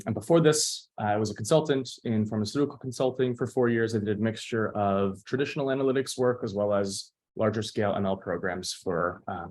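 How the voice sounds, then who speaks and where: A man from New Jersey, a graduate student panelist, introducing himself on a video call with light humor. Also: on the low side.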